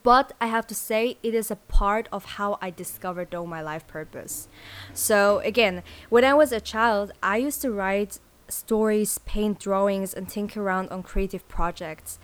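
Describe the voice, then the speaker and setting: A young woman hosting her own podcast, talking earnestly on her own and filling pauses with "you know". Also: mid-range voice, smooth. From born in South Korea, grew up in Switzerland. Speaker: podcast host. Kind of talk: solo podcast monologue.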